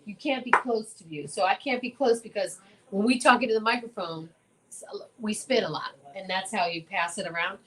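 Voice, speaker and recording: A woman's voice: medium pitch, fairly quick, a bit bright, rough recording. A woman co-hosting a casual talk show, chatting in a gushing, emphatic way and often repeating herself. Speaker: co-host of a comedy talk show. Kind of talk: casual chat.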